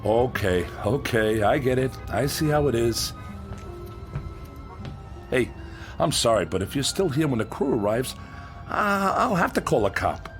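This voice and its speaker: A man's voice: mid-range voice, very animated, a little slow, pauses often, faint music behind. An actor playing a blunt, puzzled workman in a radio drama, talking in a plain colloquial way. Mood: wary, resigned. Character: brusque, puzzled workman losing patience, then apologetic.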